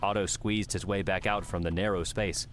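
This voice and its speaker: A man's voice: deep voice, fairly even intonation, moderate pace, fairly bright tone. A man narrating an audiobook, reading scripted fiction.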